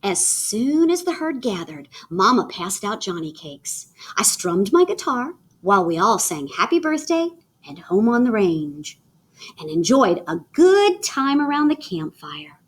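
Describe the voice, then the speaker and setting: A woman reading a children's picture book aloud in an animated, theatrical way with dramatic pauses. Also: medium pitch, breathy edge. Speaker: read-aloud storyteller.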